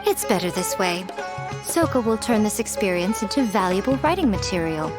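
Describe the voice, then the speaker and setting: A woman voicing a sly, elegant, self-assured publisher in an animated game, composed and teasing. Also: medium pitch, moderate pace, music in the background, natural voice. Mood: amused, knowing.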